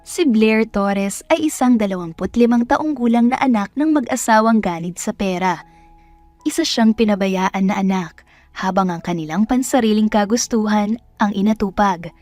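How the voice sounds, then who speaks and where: A woman's voice: medium pitch, moderate pace, a little mellow, no echo, soft background music. A woman with a Filipino accent reading scripted story narration as a storyteller.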